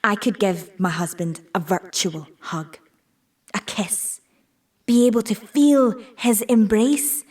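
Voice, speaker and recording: A persuasive tech businesswoman delivers a scripted pitch in an audio play. Her pace is slow and measured, at a middling pitch, and she sounds set back from the microphone. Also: a little mellow, distant mic. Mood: personal, persuasive. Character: married tech-industry woman pitching virtual reality to an audience. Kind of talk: scripted audio drama monologue, public presentation.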